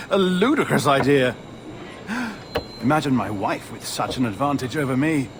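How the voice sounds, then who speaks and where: An actor playing a chatty, nervous, genteel merchant in a video game, fussy and excitable in manner. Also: male voice, medium pitch, unhurried, noisy background. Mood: amused. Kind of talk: video game cutscene acting.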